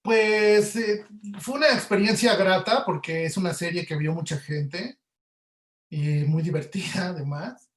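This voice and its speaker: High-voiced Mexican man in an echoey room. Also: a little slow. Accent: Mexican Spanish. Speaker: guest audio producer. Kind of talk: casual explanatory interview answers. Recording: roomy, echoey webinar audio.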